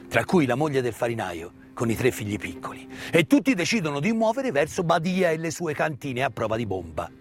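A man giving a dramatic theatrical narration of a wartime story, measured and emphatic, with pauses and hushed phrases. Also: mid-range voice. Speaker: stage actor and storyteller. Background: live musical accompaniment.

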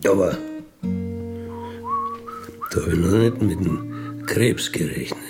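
An older man with an Austrian accent telling a reflective personal story in a halting, colloquial way with little questioning tags. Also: low-pitched, gravelly, music in the background. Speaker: former prisoner telling their life story. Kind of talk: reflective autobiographical monologue.

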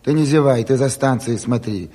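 An actor playing a brisk, bossy local Party chairman in a radio drama, blunt and colloquial, firing off orders and questions. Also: medium pitch, warm tone, natural voice. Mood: brisk, commanding. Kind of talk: theatrical Soviet radio drama acting. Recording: reverberant hall, stage recording.

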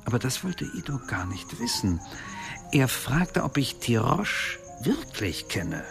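Knarriger, nachdenklicher Akademiker. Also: male voice, mid-range voice, sing-song, slow, vocal fry, over music, full character voice. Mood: uneasy, reflective. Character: troubled, reflective male academic, recalling a colleague. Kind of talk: radio drama dialogue.

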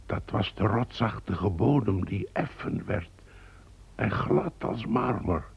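An actor playing a brooding man descended from a traitor in a radio play, speaking in a solemn, measured way. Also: on the low side, lively intonation, full character voice. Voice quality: gravelly. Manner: solemn, measured, visionary storytelling. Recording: dull lo-fi old radio recording.

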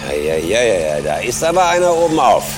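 A man's voice: medium pitch, very animated, fast talker, noisy background, lightly acted. An actor playing a put-upon, insistent man in a naturalistic radio drama. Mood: muttering. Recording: loud music, car and rain sounds.

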